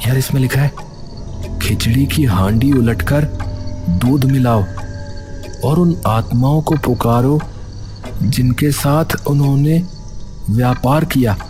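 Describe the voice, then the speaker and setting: A man's voice: mid-range voice, takes it slowly, long pauses, over music, natural voice. A man playing an earnest young villager who leads his friends in a cartoon story, speaking casually and urgently. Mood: puzzled, reading.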